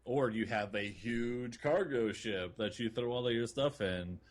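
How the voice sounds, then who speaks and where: A man's voice: mid-range voice, measured pace. A man co-hosting a casual gaming podcast, talking in an informal, enthusiastic, loosely phrased way. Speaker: podcast co-host.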